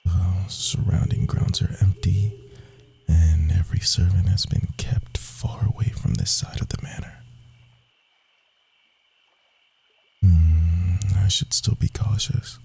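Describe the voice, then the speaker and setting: A man playing a sly, cocky rogue assassin in a fantasy audio roleplay, speaking softly and theatrically, often dropping into whispers. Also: steady tone, measured pace, soft background music, exaggerated voice. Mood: wary, hushed. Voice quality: low, gravelly, husky.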